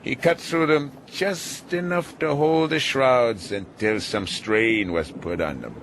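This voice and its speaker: An actor playing a gruff, ailing, sardonic male sea captain in a radio drama, halting and muttering as if in pain. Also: medium pitch, fairly bright tone. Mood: wry, weary. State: weary and in pain from headaches. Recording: noisy, boat and sea ambience.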